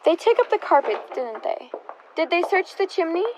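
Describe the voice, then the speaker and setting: A woman's voice: extremely high pitch, big pitch swings, moderate pace, background noise. A woman acting a nosy, sardonic interrogator in a radio drama, asking pointed questions in a wry, insinuating way. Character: nosy, sardonic, probing interrogator of a murder suspect's sister.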